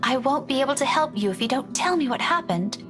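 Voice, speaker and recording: A man voicing a gentle young woman teacher, soft and coaxing toward a child. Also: extremely high pitch, lively intonation, fairly quick, over music, voice pushed up. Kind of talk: fan dub of a visual novel.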